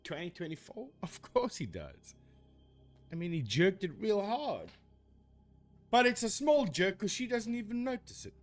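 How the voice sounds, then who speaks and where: Animated man rambling on stream. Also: high voice, measured pace, soft background music. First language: Afrikaans. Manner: rambling, self-correcting, animated. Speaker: streamer debunking ghost videos. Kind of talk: casual live-stream commentary.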